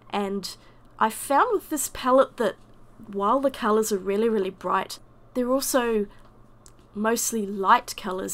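A woman with a croaky voice from a summer cold, chattily narrating a watercolour painting voice-over. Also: mid-range voice, a bit bright. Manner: chatty, self-deprecating. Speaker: watercolour artist.

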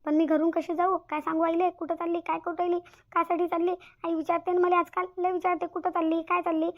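A woman's voice: extremely high pitch, level tone, fast talker, dull audio. A woman voicing an anxious, stubborn young village bride-to-be in a cartoon, plaintive and fretful, with a rural Maharashtrian accent. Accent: rural Maharashtrian Marathi. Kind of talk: cartoon comedy dialogue.

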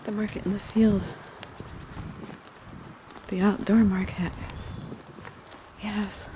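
A middle-aged woman talks in a mellow, slow, meandering way, as if strolling along with a friend. She is recorded outdoors on a handheld camera, with light ambient noise and traffic around her. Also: medium pitch, level tone. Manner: gentle, unhurried, meandering. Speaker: ASMR video creator. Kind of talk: casual ASMR roleplay chat while walking. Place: outdoors on paths through woods and streets. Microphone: handheld camera at arm's length.

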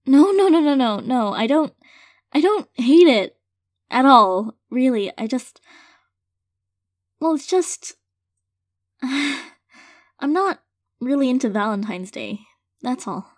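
A woman's voice: high-pitched, very animated, dry recording, clean studio sound, exaggerated voice. A woman playing an affectionate, hesitant girlfriend in an intimate audio roleplay, speaking sincerely and tenderly to her partner. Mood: hesitant, apologetic. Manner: soft-hearted, hesitant, halting, gently sincere. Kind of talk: intimate audio roleplay, casual monologue to listener.

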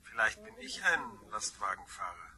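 An actor playing a sly, taunting anonymous blackmailer in a crime radio drama, insinuating and mock-sweet. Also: male voice, fairly low pitch, huge pitch swings, normal speed, pushed and bright, exaggerated voice. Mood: menacing, taunting.

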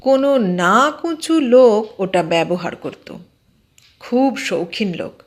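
A woman voicing a mild, stubbornly sceptical man in an audio story, explaining things in a measured, matter-of-fact way. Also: medium pitch, lightly acted.

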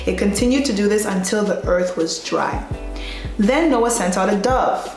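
A woman's voice: medium pitch, normal speed. Young woman storytelling in an echoey room. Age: young adult. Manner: playful, colloquial, expressive. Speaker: host of a Bible storytime show. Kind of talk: scripture reading and casual storytelling to camera. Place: small bright indoor room at a desk. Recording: very echoey room, music behind.

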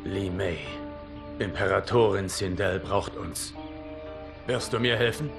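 An actor playing a calm, grave protector of the realm, speaking in a measured, earnest way in dramatic game dialogue. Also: male voice, deep voice, vocal fry, over music. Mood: confiding, urgent. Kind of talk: dramatic dubbed video game acting.

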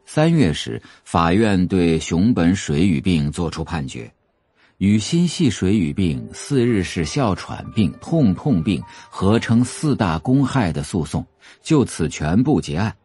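A man's voice: low voice, very animated, unhurried, warm tone, breathy edge. A man reading scripted narration for an audio drama, telling the story as a novel's narrator. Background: faint music.

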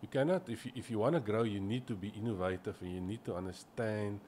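Low-voiced South African businessman, measured. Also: darkish tone, slight background noise. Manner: measured, repeats words while building sentences. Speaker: company finance chief, guest. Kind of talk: business interview, conversational. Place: small office meeting room. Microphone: desk podcast mic on boom arm.

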